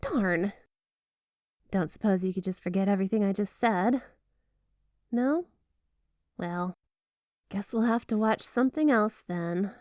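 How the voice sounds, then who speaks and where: A woman's voice: mid-range voice, measured pace, long pauses, darkish tone, dry recording, studio quality, close to an everyday voice. A woman playing a flirty, obsessive yandere wife in an ASMR roleplay, gushing and coy with a possessive edge under the sweetness. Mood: sweet, affectionate. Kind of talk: ASMR roleplay, addressing the listener as spouse. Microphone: close, dry microphone.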